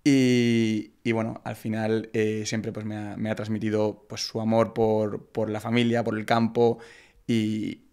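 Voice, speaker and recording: A young Spanish man with a Madrid accent talks in a relaxed, halting way, full of fillers and restarts. His voice has a gravelly edge and stays flat in pitch. He is recorded on a close studio mic. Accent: Spain Spanish. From Madrid, Spain. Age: young adult. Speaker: podcast guest, entrepreneur. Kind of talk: casual interview chat. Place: podcast studio, indoors. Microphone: broadcast mic on arm, close.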